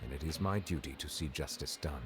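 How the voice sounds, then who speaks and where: Deep, stern, formal chief justice. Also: male voice, background noise. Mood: grave. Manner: formal, restrained, deliberate. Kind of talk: video game voice acting. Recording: game music behind.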